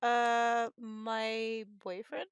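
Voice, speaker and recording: A woman streaming a visual novel, reading the text aloud haltingly and stumbling over words as she sounds them out. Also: a little high, monotone, smooth, no echo, clean studio sound. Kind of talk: halting read-aloud of game text with casual chat.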